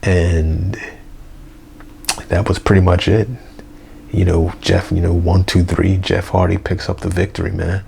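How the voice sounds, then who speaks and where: A young man, hoarse, rambling through a casual unedited review vlog with lots of fillers and slang. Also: deep voice, flat delivery, measured pace, long pauses, darkish tone, slight background noise. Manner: rambling, full of fillers like "you know" and "man". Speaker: wrestling review vlogger. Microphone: basic mic, plans a new one.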